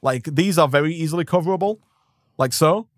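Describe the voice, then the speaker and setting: A rapid-fire male streamer with a fairly high voice, chatting along as he plays. His delivery is upbeat and rambling, with a slight hoarseness, and the recording is close and dry. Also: dry recording. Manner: rambling, upbeat, thanks viewers by name. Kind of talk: live gameplay stream commentary.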